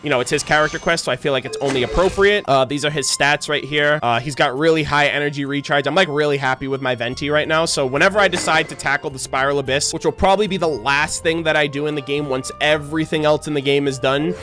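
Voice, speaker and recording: Low-pitched young woman streaming a game. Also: fast talker, hardly any pauses, a bit bright. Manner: informal, reactive, joking. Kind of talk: casual live gameplay commentary. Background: game music.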